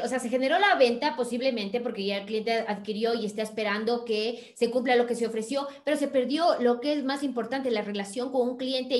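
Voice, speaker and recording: Effusive woman podcast host, echoey room. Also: fairly high pitch. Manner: warm effusive praise, affectionate sign-offs. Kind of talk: podcast interview by video call. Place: bright room at home.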